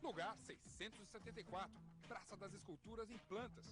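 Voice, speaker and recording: A man's voice: high voice, brisk. A Brazilian man, a television reporter, reading a playful scripted travel feature. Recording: voice-over with music bed.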